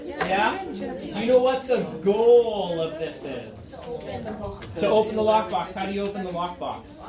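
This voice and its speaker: A man in his thirties teaching a chemistry review class, talking in a dry, fairly monotone way and quizzing his students as he works through problems. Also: sits high, unhurried, crowd noise. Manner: dry, self-described monotone, asks students questions. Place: high school classroom. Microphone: far camera at the back of the room. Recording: roomy classroom sound from a distance with students around.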